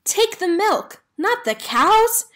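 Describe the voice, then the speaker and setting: A woman's voice: very high-pitched, big pitch swings, slow, fairly bright tone, pitched above the performer's own voice, full character voice. A woman voicing a helpful but easily alarmed country farmer man in a children's storybook, folksy and emphatic.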